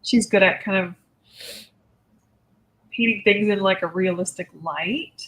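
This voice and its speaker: A woman discussing books casually, in a heartfelt, reflective way with halting phrasing. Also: mid-range voice, fairly bright tone, poor audio. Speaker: book discussion panelist. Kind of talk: casual book chat.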